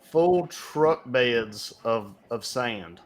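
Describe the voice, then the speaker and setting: A young man co-hosting a casual podcast from home, talking in a loud voice that carries and telling stories in a chatty way. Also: medium pitch, lively intonation, very slow. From United States. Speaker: podcast co-host. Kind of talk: casual chat. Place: home, remote recording. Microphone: home podcast mic.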